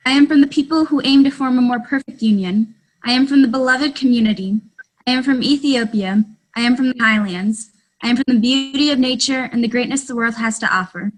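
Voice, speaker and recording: A woman's voice: fairly high pitch, fairly quick, poor audio. A woman opening a group poem with earnest recitation.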